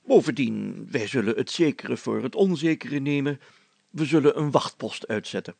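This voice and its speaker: Storybook mayor performed by a male reader: pompous, excitable adventurer, heavy creak, husky, dry close sound. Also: medium pitch, normal speed, no echo. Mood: blustering, self-assured. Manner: pompous, exclamatory, chatty. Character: excitable, self-important, adventurous village mayor, a man.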